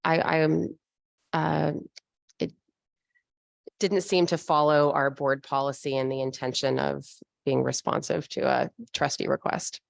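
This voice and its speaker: A woman's voice: deep voice, flat delivery, a little slow, creaky, long pauses, no echo. A woman trustee answering questions at a board meeting, defensive and hesitant. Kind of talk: formal meeting discussion.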